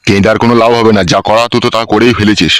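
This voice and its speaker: A man's voice: on the low side, little pitch movement, rapid-fire, fairly bright tone, dry recording, clean studio sound, lightly acted. A man playing a blunt, scolding village uncle in a comedy cartoon, speaking colloquially with a Bengali accent. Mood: grave, plotting.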